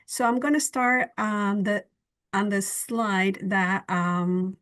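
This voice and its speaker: A woman's voice: mid-range voice, fairly even intonation, takes it slowly, fairly bright tone. A woman who works as a bilingual trainer, explaining in an earnest way on a video call webinar, with small slips in her phrasing. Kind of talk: webinar presentation.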